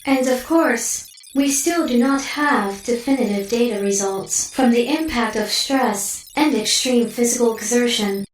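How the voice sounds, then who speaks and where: Roomy, high-pitched clinical female AI played by an actress. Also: a little slow, distant mic, soft background music, close to an everyday voice. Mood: matter-of-fact. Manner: precise, formal, literal and detached. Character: coolly clinical female artificial intelligence delivering blunt data. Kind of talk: audio drama acting.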